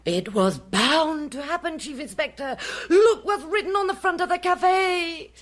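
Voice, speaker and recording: A woman's voice: very high-pitched, measured pace. An actress playing a self-important, gossipy clairvoyant woman with a haughty, theatrical manner in a radio drama. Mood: mysterious, dramatic. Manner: haughty, theatrical, mysterious whispers.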